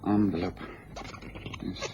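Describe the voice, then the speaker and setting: An actor playing a brisk, commanding gentleman detective in a radio drama, precise and authoritative. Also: muffled recording, slight background noise, close to an everyday voice.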